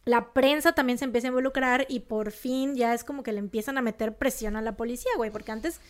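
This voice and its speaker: A woman's voice: on the high side, fast talker. A young woman with a northern Mexican accent from Monterrey, co-hosting a podcast and telling a true crime story in a slangy, emphatic, casual way. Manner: slangy, emphatic, animated outbursts.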